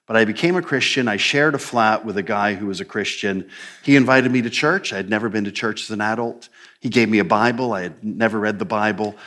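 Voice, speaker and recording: A man's voice: medium pitch, a bit bright. A man in his fifties from New Jersey with an American accent touched by Scottish, a prison chaplain telling his story in a church interview. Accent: American with a slight Scottish tinge. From New Jersey, United States. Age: 50s. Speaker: prison chaplain and theology teacher.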